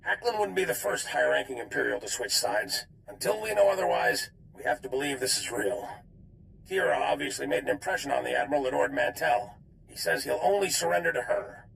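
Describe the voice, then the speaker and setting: Serious spy boss in a game, super gravelly, businesslike, faint background hum. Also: male voice, medium pitch, expressive, a little fast, a bit bright, low-quality recording. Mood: businesslike concern. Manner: earnest, businesslike. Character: grave, pragmatic male intelligence commander giving briefings. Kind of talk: dramatic video game acting.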